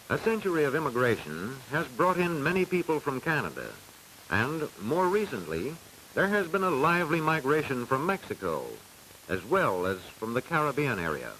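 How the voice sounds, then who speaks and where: An American man reading scripted narration for a 1940s educational film in a formal, measured, declamatory way, on a hissy old soundtrack. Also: a little high, big pitch swings, bright, forceful tone, low-quality recording.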